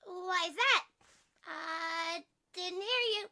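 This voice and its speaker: A cheeky nine-year-old cartoon girl with a very high, piercingly bright voice. She is nosy and teasing, and she stretches her words out. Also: takes it slowly, full character voice. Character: mischievous, cheeky nine-year-old girl, sugary video-game kid. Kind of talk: animated film script table read.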